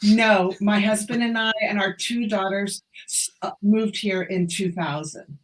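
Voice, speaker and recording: A woman's voice: medium pitch, fairly even intonation, unhurried, poor audio. An American woman in her sixties, a doctor being interviewed, speaking with emphatic enthusiasm. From USA. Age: 60s. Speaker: medical doctor guest. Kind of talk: conversational interview.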